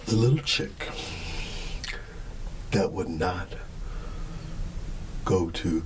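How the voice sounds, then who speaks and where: A low-voiced man reads a children's bedtime story as ASMR roleplay. He sounds very sleepy, with a halting, drawn-out delivery full of hums and yawns. There is clear ambient noise around his voice.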